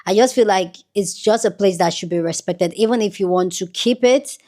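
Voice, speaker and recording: A woman's voice: mid-range voice, normal speed, dark tone. A woman from Nigeria giving rambling, earnest commentary on her own channel, with many restarts. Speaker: YouTube commentator. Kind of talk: casual commentary to camera.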